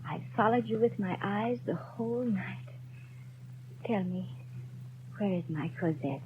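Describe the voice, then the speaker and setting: A woman's voice: medium pitch, measured pace, close to an everyday voice. A woman playing a feverish, pleading young mother in a 1930s radio drama. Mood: weak pleading. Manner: pleading, breathy whispers rising to frantic cries. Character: sick, feverish young mother, desperate for her child. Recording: muffled, hissy 1930s radio broadcast.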